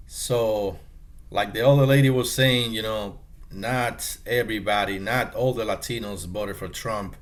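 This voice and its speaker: A man giving casual commentary in a halting, repetitive way, as if thinking aloud. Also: fairly low pitch, a bit bright. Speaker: commentator reacting to clips.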